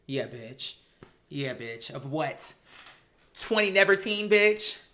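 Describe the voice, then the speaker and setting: Bright-voiced, sassy American woman. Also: deep voice, slow. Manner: sassy, animated, slangy, acts out imagined dialogue; blunt, profane. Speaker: host of a reality TV commentary show. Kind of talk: casual opinionated TV recap chat.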